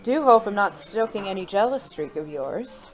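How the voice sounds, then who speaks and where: Woman as a suave, dangerous, flirtatious gentleman. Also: mid-range voice, animated, moderate pace, natural voice, rough recording. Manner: arch, refined, teasing. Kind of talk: noir audio drama acting. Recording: faint music behind the voice.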